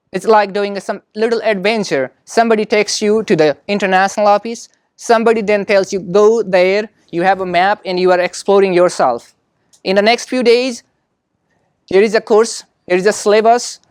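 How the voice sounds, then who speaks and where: A man with a non-native English accent giving an informal lecture as an academic speaker, in halting, repetitive phrases dotted with rhetorical questions. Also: sits high, dry recording, studio quality. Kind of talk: informal lecture drawing on own experience.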